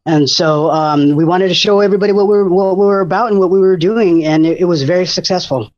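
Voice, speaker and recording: A man's voice: high voice, quick pace, darkish tone. Enthusiastic man with a muffled sound. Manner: enthusiastic, rambling with many fillers. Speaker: food bank disaster preparedness coordinator. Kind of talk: casual radio interview.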